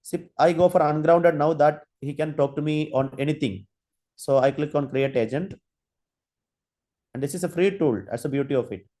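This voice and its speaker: A man's voice: fairly high pitch, dark tone. Hesitant male lecturer. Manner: halting, many fillers and restarts while demonstrating. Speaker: guest lecturer. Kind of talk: online faculty training lecture.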